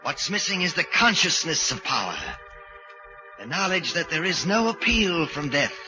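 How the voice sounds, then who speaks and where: Radio play villain: deranged artist-murderer, theatrical and brooding, wide-swinging delivery, muffled vintage recording. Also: male voice, a little high, big pitch swings, normal speed, music in the background. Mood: feverish, grandiose. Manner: theatrical, brooding soliloquies, feigned politeness to others. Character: deranged male sculptor murderer obsessed with playing Death. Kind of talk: 1940s radio acting.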